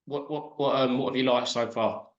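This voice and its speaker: A man's voice: mid-range voice, fairly even intonation, moderate pace, roomy sound, lo-fi sound. A man from the Thurrock area of England hosting an interview in a friendly, hesitant way with fillers. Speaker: interviewer, host. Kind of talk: casual interview.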